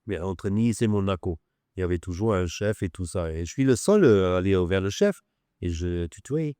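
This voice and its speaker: A man's voice: low-pitched, lively intonation, normal speed, warm tone, clear, resonant tone, no room sound, studio quality. A man with a German accent whose first language is German, a chef telling stories and teasing in casual chat. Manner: teasing, storytelling.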